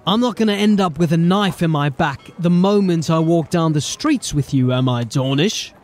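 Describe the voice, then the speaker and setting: An actor playing a wary, sardonic city police sergeant in a fantasy audio drama, dry and guarded in manner. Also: male voice, a little high, moderate pace, lightly acted.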